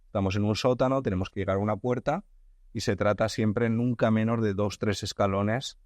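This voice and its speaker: A man's voice: lowish voice, steady tone, a little fast, darkish tone, no echo. A man hosts a podcast conversation. He thinks aloud, often breaking off and restarting his phrases. The sound is dry and close. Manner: thinks aloud, frequent restarts and repeated words. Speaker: podcast host. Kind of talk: conversational interview.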